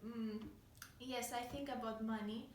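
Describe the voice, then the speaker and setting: A woman's voice: fairly high pitch, fairly even intonation, measured pace, a little mellow, slight background noise. A young woman from Greece, a native Greek speaker with a Greek accent, answering interview questions hesitantly with frequent ums. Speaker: guest. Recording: echoey room, heard from a distance.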